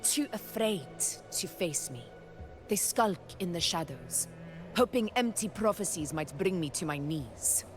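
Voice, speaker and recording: Over background music, a proud, unbending warrior ruler in a low register. She speaks formally and with measured command, as in a dramatic fantasy game scene. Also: expressive. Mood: proud, resolute. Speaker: video game voice actor. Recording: music behind the voice.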